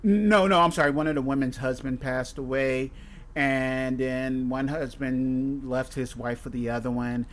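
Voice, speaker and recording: A man's voice: medium pitch, steady tone, unhurried. Male podcast host, casual and profane, rambles through stories. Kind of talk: casual chat.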